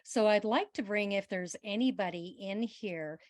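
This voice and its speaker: A woman's voice: medium pitch, moderate pace, dry recording. A woman co-hosting an online session, playful and teasing as she invites people in.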